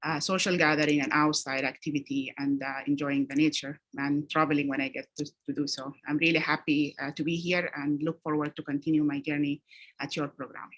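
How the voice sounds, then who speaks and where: Woman, doctor in a mock interview, low voice, halting, lots of fillers, video call audio. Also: little pitch movement, fairly bright tone. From Sudan. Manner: measured, hesitant, many ums and uhs. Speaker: physician interviewee. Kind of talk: practice job interview.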